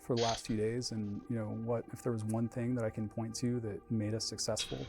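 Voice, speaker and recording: A man's voice: on the low side, little pitch movement, fairly quick, music in the background. A man reflecting in a documentary interview, speaking steadily.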